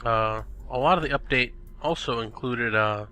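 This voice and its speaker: A man's voice: mid-range voice, moderate pace, sharp tone. A young man giving casual, chatty gameplay commentary, thinking aloud and exclaiming at the game, a bit sniffly from a cold. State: sniffly, recovering from illness. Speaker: gaming video host. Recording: faint background music. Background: background music.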